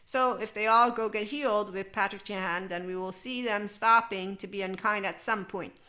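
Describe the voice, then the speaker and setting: Bright-voiced woman rambling spiritual self-help. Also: medium pitch. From United States. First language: English. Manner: loose and digressive, frequent laughter. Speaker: podcast host.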